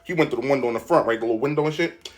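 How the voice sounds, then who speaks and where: A man telling a story in casual, slangy, animated style, often checking in with the listener. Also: mid-range voice, very fast.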